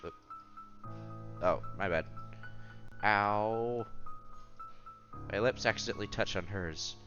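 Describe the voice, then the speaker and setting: A man's voice: on the low side, slow, pushed and bright, dull audio, faint music behind. A man reading visual novel narration aloud as a streamer, expressively, with casual asides and exclamations.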